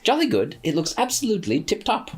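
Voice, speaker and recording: Man reading as a high, husky, fussy angel bookseller who stammers; dithering, lively. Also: fast talker, full character voice. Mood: flustered.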